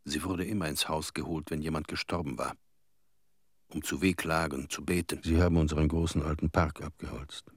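Radio drama: mournful male witness, bottom-deep pitch, solemn and spare, dry close sound. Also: fairly even intonation, brisk, no room sound.

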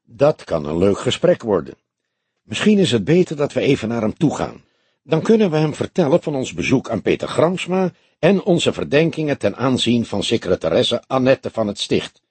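Man voicing a husky, wry old detective. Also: medium pitch, sing-song, moderate pace, a little mellow, no room sound. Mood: matter-of-fact. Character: grey-haired old police detective, wry, calm, deliberate. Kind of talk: audiobook character dialogue.

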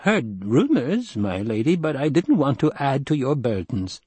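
A man voicing a mild, reasonable household doctor in an audiobook, measured and deferential. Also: medium pitch, sing-song, a little mellow, husky, no room sound, pitched above the performer's own voice. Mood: deferential, hesitant. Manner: measured, coaxing. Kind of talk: audiobook character acting.

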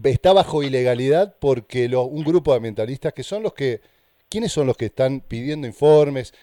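A man's voice: mid-range voice, animated, normal speed, warm tone, no echo, clean studio sound. An Argentine man, a radio journalist, questioning a local official and pressing the same pointed questions again and again. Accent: Argentine Spanish. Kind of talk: confrontational interview.

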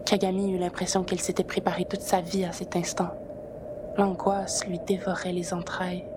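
A woman's voice: fairly low pitch, level tone, normal speed. Woman narrating a story, noisy background. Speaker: author and narrator.

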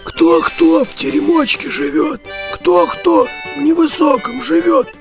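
A man playing a bold grey wolf in a children's audio fairy tale, speaking in a deliberate, declamatory way. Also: very high-pitched, vocal fry, over music, full character voice.

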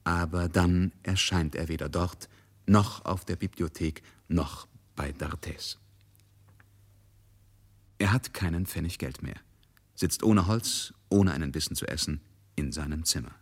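A man serves as the narrator of a literary radio play. His voice is deep, and he delivers the prose as scripted storytelling. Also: a little slow, husky.

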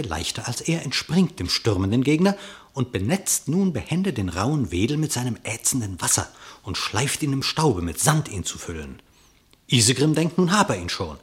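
A man narrating an epic animal fable in verse for a radio play, with dramatic, vivid storytelling. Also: medium pitch.

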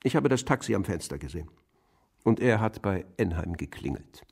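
An actor playing a terse, guarded old pensioner questioned as a neighbour in a German radio drama. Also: male voice, low voice, sing-song, fairly quick, gravelly, a little mellow, husky, heavily acted. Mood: defensive, matter-of-fact. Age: older. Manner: terse, guarded, matter-of-fact answers. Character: reticent old pensioner, a murdered man's nosy neighbour.

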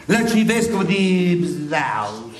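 A man's voice: sits high, sing-song, slow, a crowd in the background, full character voice. A man playing a coarse, mocking dead soul in a stage comedy, blunt, exclamatory and repeating himself indignantly. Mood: conspiratorial grumbling. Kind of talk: broad comic theatre dialogue. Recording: roomy distant stage recording with music.

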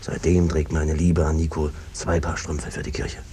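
Very deep, evasive peasant brother. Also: male voice, level tone, normal speed, dark tone. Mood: evasive, uneasy. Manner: hesitant, roundabout, cajoling. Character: evasive, henpecked peasant brother nudging his sister out. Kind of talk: 1950s German stage-style radio drama acting. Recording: noisy old 1950s radio recording.

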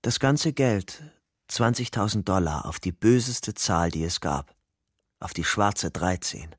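An actor playing a weary young ex-convict on the run in a German crime radio drama, haunted but stubbornly hopeful, in a subdued, confessional way. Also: male voice, low voice, animated, measured pace, slightly rough, no room sound, studio quality, exaggerated voice. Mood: subdued, bleak. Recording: music bed under the voice.

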